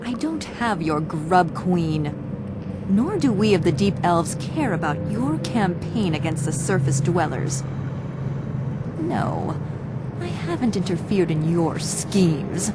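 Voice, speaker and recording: A woman playing a regal, scheming and seductive dark elf princess in a fantasy game, with formal, imperious diction. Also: fairly low pitch, sing-song, takes it slowly. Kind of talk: fantasy video game cutscene acting. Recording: loud music under the voice.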